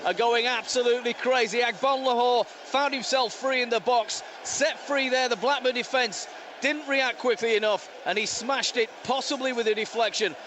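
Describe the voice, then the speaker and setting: Male football reporter, extremely high pitch, live over clear stadium noise. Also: fairly even intonation, normal speed.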